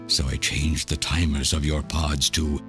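Elderly villain scientist, rock-bottom pitch, obsessed, bitter, deliberate theatrical delivery, game cutscene, music behind. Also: male voice, flat delivery. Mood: grave, confessional. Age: older. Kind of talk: dramatic video game voice acting, monologue.

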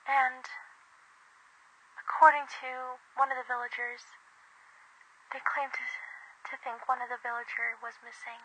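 A woman acts a mournful female news reporter in a roleplay bulletin. Her delivery is flat, slow and halting, with a bright but breathy edge. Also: sits high, exaggerated voice, poor audio. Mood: grave. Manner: solemn, halting.

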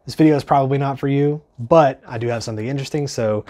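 A man's voice: medium pitch, quick pace, dark tone. A young man in his twenties with a stuffy, congested voice from a cold, chatting casually and earnestly as he reviews gear in his small home studio, with lots of ums. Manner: chatty, filler-heavy, earnest.

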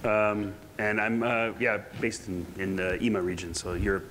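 A man's voice: lowish voice, level tone, moderate pace, fairly bright tone, slight background noise. A man from Canada, a co-presenter on stage, introducing himself briefly and hesitantly. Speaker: engineer co-presenter. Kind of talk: conference talk. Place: large stage.

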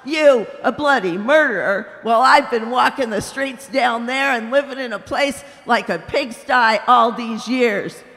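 A woman voicing a blustering, aggrieved man's ghost who shouts his complaints in a storybook reading. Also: fairly high pitch, unhurried, hardly any pauses. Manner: loud, indignant, shouting. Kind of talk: audiobook character voice. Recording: long hall reverb.

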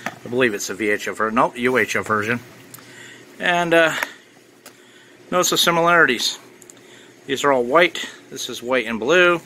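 A man talks casually through an unboxing as a reviewer. His voice sits at a middle pitch with a bright, forceful edge. There is faint noise behind him in a simple handheld recording. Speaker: ham radio reviewer.

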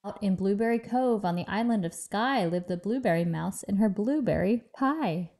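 A woman reading a children's rhyming story aloud in a measured, expressive sing-song way. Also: mid-range voice. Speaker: picture book reader.

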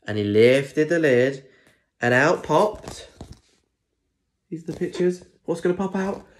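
A man's voice: on the high side, animated, a little slow, slight background noise. A young man with a British accent reading a children's picture book expressively, chatting directly to listeners with asides. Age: young adult. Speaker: primary school story reader. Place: small indoor room at home. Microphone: phone or tablet at arm's length.

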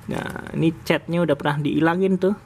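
A man hosting a relaxed toy review vlog, talking casually to viewers as he unboxes toy cars at a table. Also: a little high, fairly quick, husky. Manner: relaxed, chatty, sprinkled with "guys". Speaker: diecast toy channel host. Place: indoors at a table, off camera.

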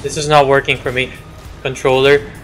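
A man's voice: mid-range voice, level tone, moderate pace, a bit bright, faint music behind. A man chatting casually while playing a co-op game with friends, speaking in a put-on, vague accent. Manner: playfully fake put-on accent. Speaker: gamer. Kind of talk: casual co-op gaming chat.

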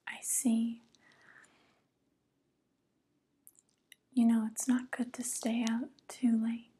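A young woman playing a softly coaxing evil witch in an ASMR roleplay, whispering slowly and gently, close to the microphone. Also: fairly high pitch. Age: young adult. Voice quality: breathy whisper. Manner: slow, gentle, flattering, falsely kind. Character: soft, coaxing evil witch luring a guest.

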